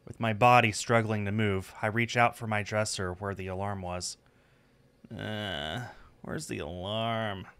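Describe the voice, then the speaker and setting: A man's voice: fairly low pitch, fairly even intonation, measured pace, fairly bright tone, smooth. A man hosting a game livestream, chatting casually and playfully and reading game text aloud. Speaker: game streamer, host.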